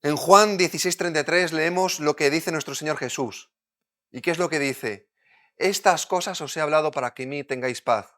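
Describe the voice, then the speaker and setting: Emphatic preacher from Madrid. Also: male voice, fairly high pitch, normal speed. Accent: Madrid Spanish. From Spain. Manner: emphatic, shifts between loud urgent points and quiet intimate asides. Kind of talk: sermon.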